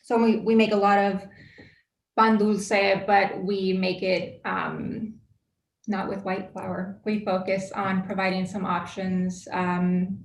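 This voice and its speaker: A woman baker from San Antonio, Texas, speaking softly and hesitantly with "um" fillers on a panel. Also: mid-range voice, level tone, takes it slowly, echoey room, a little hiss or hum. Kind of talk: panel discussion.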